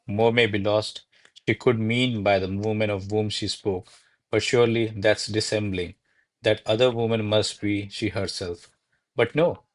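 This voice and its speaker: A man's voice: on the low side, lightly acted. A man playing an anxious, lovestruck secretary to a countess in a classical radio play, musing and declaiming in theatrical verse. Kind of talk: verse stage comedy, recited as radio play.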